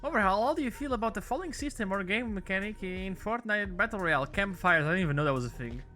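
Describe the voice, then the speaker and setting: A fairly high, bright male voice, joking and swearing freely through a relaxed live game stream. He is from Portugal and drops in silly meowing noises while he talks. Faint music plays underneath. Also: quick pace. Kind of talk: casual gaming stream chat.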